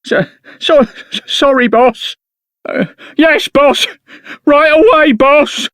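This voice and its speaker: A woman's voice: high-pitched, takes it slowly, fairly bright tone, no echo, studio quality. A woman playing a flustered, servile underling in a video game, stammering nervously.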